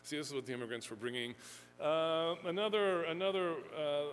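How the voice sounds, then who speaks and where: A man lectures to a live audience, wandering through his points with plenty of fillers and self-deprecating asides. His voice sits a little high for a man. The sound is roomy with a long hall echo and a faint hum underneath. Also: brisk, a bit bright. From Houston, Texas, USA. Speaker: seminary professor giving a talk. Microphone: fixed lectern mic.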